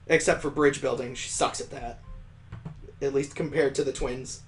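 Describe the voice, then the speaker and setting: A man's voice: mid-range voice, fairly even intonation, moderate pace, faint music behind. A man reading a visual novel aloud as a streamer, breaking off for sarcastic, amused asides. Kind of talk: reading game text aloud with joking asides.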